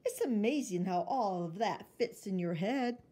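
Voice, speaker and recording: A woman's voice: medium pitch, animated, moderate pace, mellow, lightly acted. A woman voicing a kindly, good-humoured mother in a children's storybook, speaking in a reassuring way. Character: gentle, good-humoured, indulgent mother of a little girl.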